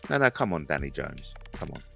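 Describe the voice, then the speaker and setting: Slow, gravelly man in his fifties. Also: a bass voice, sing-song, a bit bright, faint music behind. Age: 50s. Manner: rambling, jokey, repeats himself, says he has a speech impediment. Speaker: livestream host. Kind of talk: casual livestream chat.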